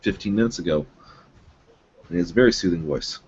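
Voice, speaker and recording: A young man guest on a casual live podcast, joking and quick to apologize. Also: low voice, a little mellow. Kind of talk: casual live-stream chat. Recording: dull lo-fi audio with faint noise.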